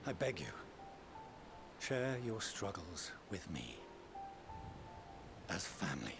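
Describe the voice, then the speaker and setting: A middle-aged man playing a dignified, earnest father and leader in a game, speaking formally and with deliberate weight. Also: low voice, big pitch swings, over music, heavily acted. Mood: tender, heavy. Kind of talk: formal dramatic game dialogue. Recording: clear background noise.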